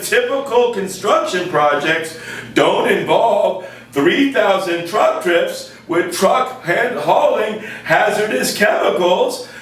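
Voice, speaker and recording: A man's voice: very high voice, a bit bright, exaggerated voice. A man voicing a smug, chuckling male regulator puppet in a satirical puppet show. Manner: chuckling, cheerfully callous. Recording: echoey room, mic far off.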